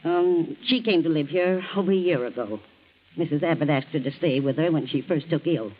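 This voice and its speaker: A woman playing an excitable, defensive, sharp-tongued housekeeper in 1940s radio acting, emphatic and easily flustered. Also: low-pitched, a little fast. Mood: gossipy, bitter. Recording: muffled vintage 1940s radio recording.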